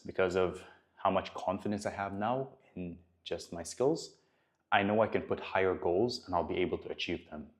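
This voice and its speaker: A man in his early twenties talks straight to the camera with a very low, deep voice. He speaks earnestly and motivationally about his own growth, and his sentences often stall and restart. He is a personal trainer recording a vlog. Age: 20s.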